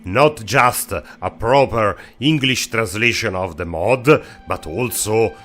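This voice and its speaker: A man hosting a game mod show, narrating an informal review with emphatic, halting phrasing. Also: fairly low pitch, animated, fairly bright tone, soft background music.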